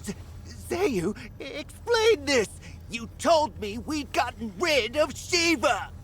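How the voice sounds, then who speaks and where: A man's voice: very high voice, very animated, slow, fairly bright tone, exaggerated voice. A man playing an agitated, imperious king in a video game, demanding and flustered. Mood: panicked, accusing.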